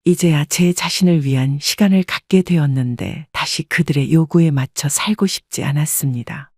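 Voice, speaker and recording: A woman narrating a scripted first-person story in a calm, reflective way. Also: low voice, normal speed, husky, no echo, studio quality.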